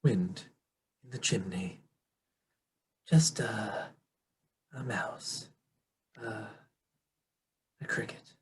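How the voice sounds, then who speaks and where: A man playing a frightened, frail old man in a theatrical radio play, halting and fearful. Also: fairly low pitch, husky, exaggerated voice. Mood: nervous, faltering.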